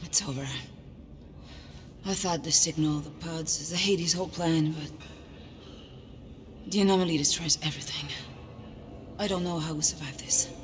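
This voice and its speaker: A woman's voice: low voice, steady tone, normal speed, long pauses, natural voice. A middle-aged woman acting a hard, bitter commander in a cinematic video game, intense and clipped. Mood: defeated. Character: hard, bitter female commander, fierce and accusing. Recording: music behind voice.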